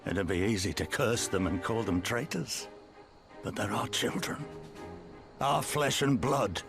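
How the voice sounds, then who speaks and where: An actor playing a weary, stern older rebel leader in a game cutscene, speaking gravely and bitterly. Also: male voice, lowish voice, measured pace, over music. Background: orchestral game score.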